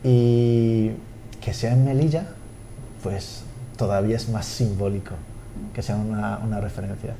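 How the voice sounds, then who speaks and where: A man, a language school director interviewed on TV, speaking haltingly with repeated words and fillers. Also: lowish voice, steady tone, warm tone, a little hiss or hum. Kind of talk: TV studio interview.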